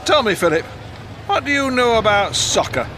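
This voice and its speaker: Radio drama: blunt, teasing Northern English newspaper editor, high-pitched, with clear vehicle noise behind. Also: male voice, very animated, moderate pace. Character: blunt, canny newspaper editor, man, of the 1910s. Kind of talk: audio drama acting. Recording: noisy moving-car ambience behind.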